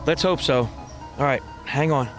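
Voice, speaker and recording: A man's voice: mid-range voice, moderate pace, over loud music, close to an everyday voice. A man playing a practical, easygoing travelling companion in an audio drama, matter-of-fact in tone.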